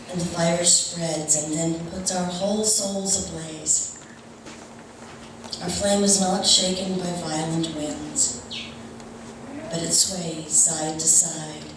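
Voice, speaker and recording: A woman speaking to a congregation at a church service, giving announcements and readings. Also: low-pitched. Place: large reverberant room at a church service. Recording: very echoey, noisy room, distant mic.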